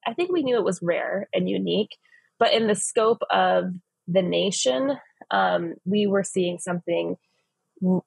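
Husky, gravelly-voiced woman from Phoenix. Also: medium pitch, expressive, a little slow, dry recording. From West Phoenix, United States. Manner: earnest, many fillers and restarts. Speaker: ministry leader, guest. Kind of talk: reflective interview answers. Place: home office, indoors.

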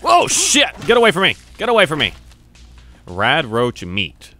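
Excitable young man gaming, bright lively voice. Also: a little high, unhurried, a little hiss or hum. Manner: loud startled yelps and swearing; reads on-screen text aloud. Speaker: gamer playing on camera. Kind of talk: casual let's-play commentary.

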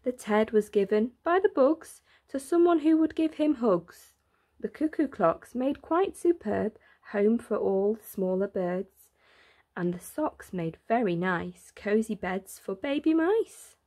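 A woman reading a rhyming children's picture book aloud in an expressive, deliberate way, drawing out words for emphasis. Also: fairly high pitch. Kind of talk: expressive read-aloud of a rhyming bedtime story.

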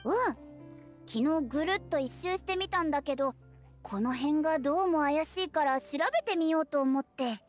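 A woman's voice: high-pitched, moderate pace, natural voice. A woman voicing a young, confident and inquisitive cat spirit courier in a game, friendly and girlish, with music behind. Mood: thoughtful. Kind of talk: Japanese video game voice acting.

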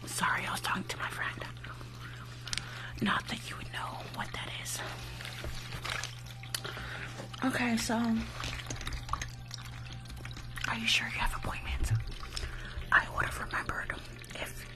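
Woman acting a whispering, snide spa receptionist. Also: natural voice. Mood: mocking. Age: young adult. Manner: condescending, snarky, drawn-out judgmental remarks. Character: rude, judgmental female spa receptionist, condescending and snide. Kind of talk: whispered ASMR roleplay. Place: small room at home, indoors. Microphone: close furry-windscreened condenser microphone.

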